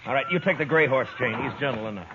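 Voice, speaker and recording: Actor as a gruff frontier marshal, old radio. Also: male voice, mid-range voice, fast, natural voice. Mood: brisk, commanding. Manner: gruff, commanding, clipped orders. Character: stern, practical US marshal in the Old West. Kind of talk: 1950s radio western acting. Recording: muffled, noisy old radio broadcast.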